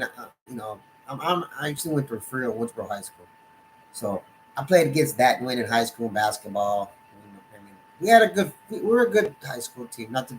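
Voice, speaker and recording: A middle-aged Texan man talks sports on his live stream at a mid-range pitch. He rambles, stops and restarts his sentences, and leans on "you know" and "man". A faint hiss of noise sits under his voice. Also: low-quality recording. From Texas, USA. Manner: rambling, halting, many restarts and fillers. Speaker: sports channel host. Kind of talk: live-stream casual monologue. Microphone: desktop computer setup.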